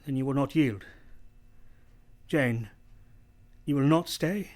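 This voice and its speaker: A man's voice: medium pitch, takes it slowly, a little mellow, lightly acted. A man playing an anguished master of a manor in a period radio play, pleading with passion. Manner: impassioned, pleading.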